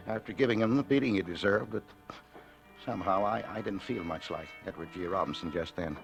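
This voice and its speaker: A man's voice: lowish voice, vocal fry, low-quality recording. An actor playing a timid, flustered, henpecked husband in 1940s radio drama, hesitant and stammering as he talks. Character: timid, henpecked, nervous husband telling his misadventure. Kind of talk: 1940s radio drama acting, first-person narration and dialogue. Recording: vintage radio recording, faint music.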